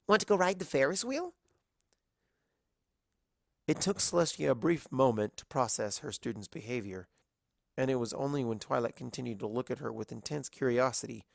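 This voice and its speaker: A man's voice: medium pitch, gravelly, dry recording, clean studio sound. A man narrating a scripted story as a reader of fiction.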